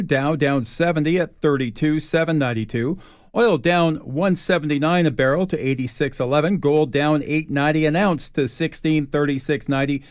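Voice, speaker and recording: Male radio news anchor, slightly high voice, dry studio sound, scripted bulletin delivery. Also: no echo.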